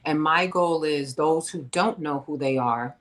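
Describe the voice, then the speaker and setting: A woman host from New with a low voice. She speaks in a lively, emphatic and slangy way, leaning into her points in casual conversation. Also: a little slow. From New York. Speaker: podcast host.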